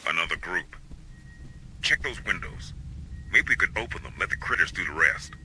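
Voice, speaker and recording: An actor playing a dry, sardonic soldier advising marines in a video game. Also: male voice, low-pitched, animated, normal speed, bright, forceful tone, a little hiss or hum, exaggerated voice, low-quality recording. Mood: sardonic, measured. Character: wry, battle-ready male military operative advising marines. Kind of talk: video game military dialogue.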